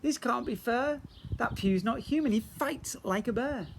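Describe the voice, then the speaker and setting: A man's voice: very high-pitched, a little slow, gravelly, a little hiss or hum, pitched above the performer's own voice, heavily acted. A man voicing a panicky robber in a children's story, wailing and aggrieved. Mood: panicked wailing. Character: panicky, whining male robber in defeat.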